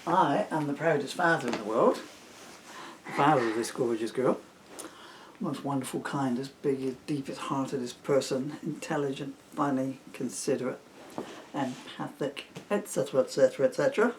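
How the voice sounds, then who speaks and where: A man's voice: medium pitch, normal speed, poor audio. A man, a father reading a children's story aloud in a playful, jokey way with chatty asides, recorded with some noise. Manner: jokey, self-teasing. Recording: clear background noise.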